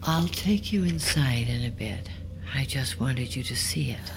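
A middle-aged farm widow speaks slowly and deliberately in a low, creaky hush. She is bitter with grief and quietly menacing, as in a naturalistic audio drama, over faint noise. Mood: quiet menace. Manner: slow, deliberate, hushed.

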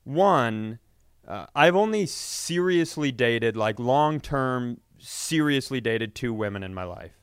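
A man with a middle-pitched, clean and smooth voice hosts a comedy podcast. He tells casual, joking stories with animated profanity, recorded dry with little room sound. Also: slow, no echo.

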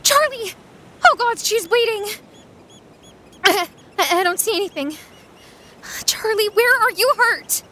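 A woman playing a tender, guilt-ridden young fantasy adventurer with a halting, anxious, caring delivery, in an audio drama. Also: very high-pitched, expressive, pushed and bright, full character voice. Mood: frightened. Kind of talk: audio fiction drama acting.

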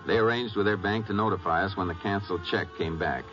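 A steady, authoritative Texas Ranger, played by a male radio actor, with a fairly low voice. He speaks in clipped, courteous sentences. The sound is a dull 1950s radio western recording with music. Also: quick pace, close to an everyday voice. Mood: businesslike.

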